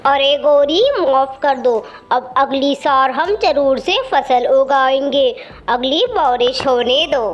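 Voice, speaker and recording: A woman's voice: high voice, slow. A woman voicing a lazy, spiteful male crow in a children's cartoon, with a sly, scheming manner. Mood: apologetic. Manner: scheming, gloating. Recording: muffled with faint background noise.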